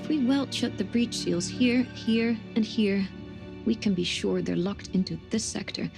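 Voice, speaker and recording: Creaky-voiced, precise ship engineer. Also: female voice, medium pitch, a little slow. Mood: methodical, focused. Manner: precise, matter-of-fact. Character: methodical, firm female spaceship systems engineer. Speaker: voice actor in a video game. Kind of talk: cinematic sci-fi horror game acting. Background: music.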